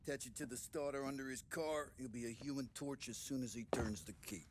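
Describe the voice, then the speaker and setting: A man's voice: mid-range voice, steady tone, a little fast, background noise, close to an everyday voice. An actor playing a sarcastic male bomb maker who jokes drily while explaining his work. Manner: dry sarcastic joking.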